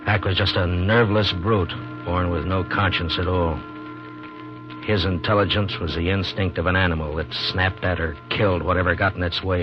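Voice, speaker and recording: Man acting a very deep, grim frontier marshal. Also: close to an everyday voice. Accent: American. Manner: grim, deliberate, hard-boiled. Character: stoic, weary male frontier marshal narrating his ordeal. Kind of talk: 1950s radio drama narration and acting. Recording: dull 1950s radio recording.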